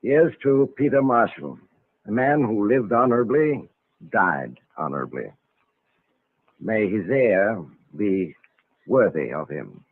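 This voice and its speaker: An actor playing a solemn, suspicious estate lawyer with a formal, stiffly courteous manner in an old radio drama. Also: male voice, lowish voice, animated, slow pace, pauses often, breathy edge, dry recording, full character voice. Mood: ceremonious. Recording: vintage radio recording, narrow phone-like band.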